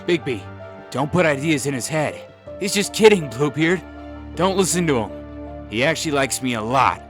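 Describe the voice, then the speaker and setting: A man's voice: a little high, animated, moderate pace, soft background music, close to an everyday voice. A man playing a cowardly, glib young rascal in a comic dub, pleading dramatically. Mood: nervous pleading.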